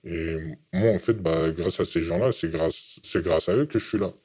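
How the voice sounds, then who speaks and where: Very low-voiced halting young man. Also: a little mellow, husky. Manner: halting, repeats words. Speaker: interviewee. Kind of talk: testimony interview. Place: hidden from camera.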